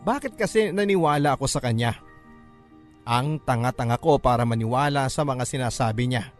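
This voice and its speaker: Low-voiced man narrating a sorrowful story. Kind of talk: dramatic first-person radio-style narration.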